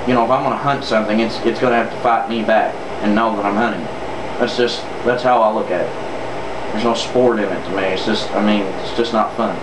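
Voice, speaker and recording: A man with a Southern US accent delivering an off-the-cuff political monologue in a sarcastic, emphatic way, repeating phrases for effect. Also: lowish voice. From southern United States. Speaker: political vlogger. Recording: roomy, distant mic, noticeable air-conditioner-like noise.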